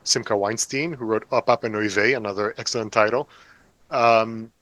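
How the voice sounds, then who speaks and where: A man's voice: fairly low pitch, fairly even intonation, moderate pace, fairly bright tone, no echo. A man in his forties, an author being interviewed, talking casually with a wry, self-deprecating humor. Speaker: author guest.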